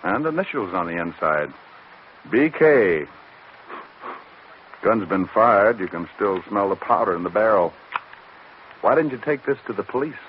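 A man's voice: fairly low pitch, sing-song, measured pace, long pauses, slight background noise. A man acting a hard-boiled, wisecracking private detective, wry and slangy, in a 1940s radio drama. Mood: wry, amused. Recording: muffled old radio recording.

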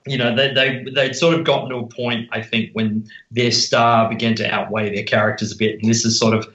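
A man, a podcast guest, discussing film casually and haltingly as he thinks aloud. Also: mid-range voice, flat delivery, a bit bright. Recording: dull, roomy sound.

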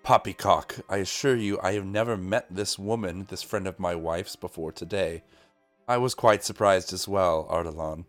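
A man's voice: low voice, fairly even intonation, moderate pace, faint music behind, natural voice. A man playing a haughty middle-aged count who sounds pompous, clipped and dismissive.

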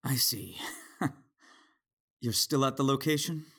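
A man acting as a calm, stern, disappointed Jedi father in a sci-fi audio drama, measured and husky. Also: medium pitch, heavily acted. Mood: calm, weary. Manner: measured, restrained.

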